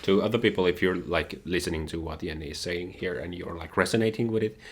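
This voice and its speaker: A man's voice: sits low, fast talker. A man, talking earnestly and eagerly about books he loves. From Finland. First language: Finnish. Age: 20s. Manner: earnest, enthusiastic, many "like" fillers. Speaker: guest, partner of the host. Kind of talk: casual chat.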